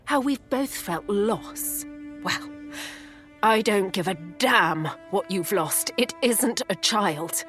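An actress playing a grieving, bitter wife and lapsed writer in a 1930s noir audio drama, articulate and wry. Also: female voice, medium pitch, takes it slowly, fairly bright tone, soft background music. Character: grieving, bitter English writer wife in 1937, sharp-tongued. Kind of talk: scripted gothic noir audio drama acting.

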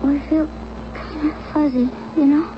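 A woman's voice: high-pitched, fairly even intonation, normal speed, lightly acted. An actress playing a fragile, forgetful wife in a radio drama, hesitant and faltering, childlike in her simplicity. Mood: dazed, wistful. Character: fragile, confused wife in hospital, tearful and forgetful. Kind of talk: 1970s radio drama acting. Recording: dull, noisy old radio broadcast.

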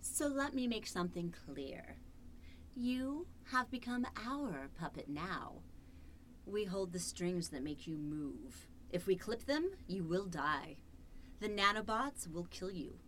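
A woman playing a cold, controlling artificial intelligence in a horror radio drama, measured, commanding and possessive. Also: lowish voice, lively intonation, a bit bright, slight background noise. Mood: threatening. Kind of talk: old-time radio horror acting.

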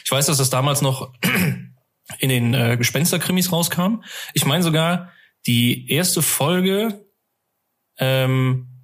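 A man's voice: on the high side, takes it slowly, fairly bright tone. Casual, rambling man in conversation. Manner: colloquial, rambling, often amused. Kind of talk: casual interview chat, telling personal anecdotes.

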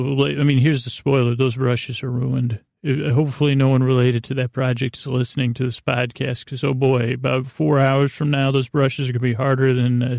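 A middle-aged man with a creaky, gravelly voice in a close, dry recording. He hosts a sleep podcast, wandering through a self-deprecating monologue, often stopping and restarting his thoughts. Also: mid-range voice, darkish tone, no echo. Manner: halting, meandering, self-interrupting. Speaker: podcast host.

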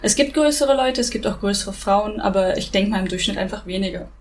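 A young woman explaining things in a relaxed, giggly casual chat. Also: mid-range voice, fairly quick, slight background noise. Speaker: co-host. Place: living in Japan.

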